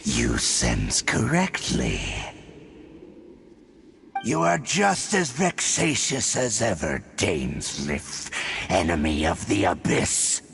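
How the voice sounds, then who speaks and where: A man's voice: low-pitched, wildly swooping pitch, slow, creaky, a bit bright, heavily acted. An actor playing a menacing, scornful monster villain in a video game, taunting his enemy in grim tones. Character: menacing male Abyss Herald, cold sinister monster. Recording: game audio with music behind.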